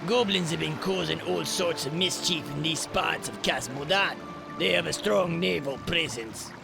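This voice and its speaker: A man's voice: on the high side, moderate pace, creaky. A man voicing a hearty, helpful dwarf in a fantasy game, with folksy, old-fashioned phrasing. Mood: gruff, businesslike. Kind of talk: fantasy game cutscene acting. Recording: loud music behind the voice.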